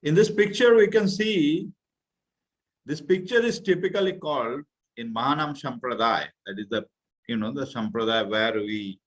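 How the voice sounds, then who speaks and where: A middle-aged man from India with an Indian accent, giving an earnest religious talk to a youth class and sometimes pausing to search for words. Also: mid-range voice. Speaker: guest speaker. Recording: muffled, slightly lo-fi audio.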